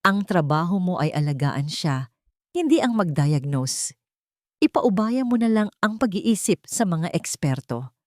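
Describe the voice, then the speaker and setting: A woman's voice: fairly low pitch, big pitch swings, unhurried, a little mellow, no echo, studio quality. A Filipino woman voicing a tired, impatient male executive who is stern and dismissive. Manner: weary, curt.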